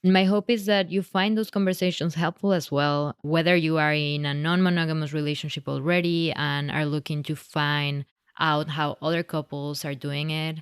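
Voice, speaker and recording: Podcast host, woman, Mexican accent, low smooth voice, casual and a bit halting when asking questions. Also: no echo, clean studio sound. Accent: Mexican Spanish. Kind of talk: podcast intro and interview.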